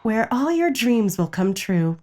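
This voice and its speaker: A woman's voice: mid-range voice, very animated, measured pace, warm tone, a little hiss or hum. A woman playing a chirpy, sinister announcer on a mental facility's orientation tape, with a polished, saccharine sales delivery. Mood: falsely cheerful. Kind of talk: scripted promotional video narration. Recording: music under the voice. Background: music.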